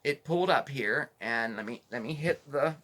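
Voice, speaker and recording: A middle-aged man hosts his cooking channel in an easygoing, rambling way, full of fillers and restarts. His tone is bright and forward, with a touch of hoarseness from a fading voice. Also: medium pitch, expressive, normal speed. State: losing his voice, a bit hoarse. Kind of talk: casual chat to viewers.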